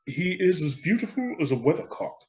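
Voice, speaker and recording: A man's voice: a little high, very animated, brisk, darkish tone, pitched above the performer's own voice. Man as pompous, lilting town councillor. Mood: self-important. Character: vain, cautious town councillor.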